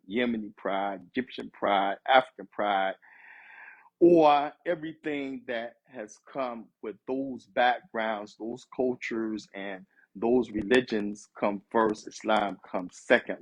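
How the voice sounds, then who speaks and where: A man's voice: fairly low pitch, husky, low-quality recording. A man in his fifties from the US East Coast, giving a conversational sermon with Arabic phrases mixed in. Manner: conversational, street-inflected preaching with Arabic phrases.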